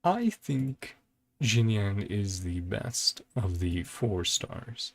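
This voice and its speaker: A man's voice: on the low side, animated, very slow, vocal fry. A man giving casual reaction commentary as a video host, thinking aloud in a laid-back way with music behind him.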